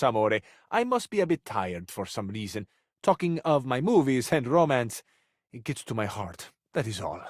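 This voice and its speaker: An actor playing a passionate, sensitive living cabinet in a video game, speaking in flowery, formal phrases with an Italian accent and Italian endearments. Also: male voice, medium pitch, no room sound, studio quality. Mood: wounded, guarded. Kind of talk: theatrical romantic video game dialogue.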